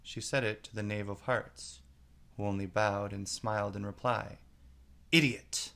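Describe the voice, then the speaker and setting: A man's voice: fairly low pitch, little pitch movement, normal speed, creaky, fairly bright tone. A man narrating a children's storybook as a read-aloud.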